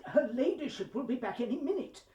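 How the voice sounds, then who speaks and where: Distant, high-pitched scolding household servant. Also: female voice, animated, a little fast, muffled recording. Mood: alarmed, scolding. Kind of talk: British period drama acting. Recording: noisy, echoey, distant from microphone.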